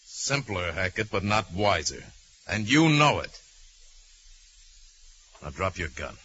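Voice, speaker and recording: An actor playing a calm, bold man who negotiates with armed convicts, firm and persuasive, in a 1940s radio drama. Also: sits low, takes it slowly, full character voice. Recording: muffled, noisy 1940s radio recording.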